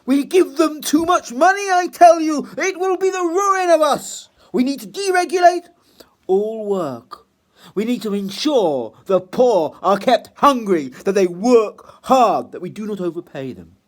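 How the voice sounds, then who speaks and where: A man's voice: very high-pitched, sing-song, measured pace, pitched above the performer's own voice, heavily acted. A man voicing a wicked, twisted-faced political economist who shrieks in outrage in a told story. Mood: outraged. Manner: shrieking, outraged, then menacing.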